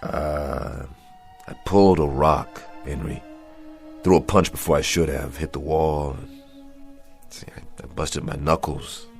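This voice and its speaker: An actor playing a battered, middle-aged washed-up boxer in an emotional radio drama, with rough, halting, anguished street talk. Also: male voice, rumbling low, steady tone, over music, heavily acted. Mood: weary, subdued. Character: washed-up, battered boxer, weary, bitter yet tender.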